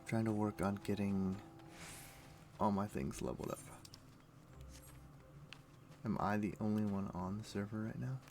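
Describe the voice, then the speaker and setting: Low, gravelly man streaming a game. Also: a little slow, pauses often, a little mellow, a little hiss or hum. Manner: informal, chatting to viewers with gamer slang. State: morning voice. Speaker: game livestreamer. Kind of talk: casual live gaming commentary. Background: music.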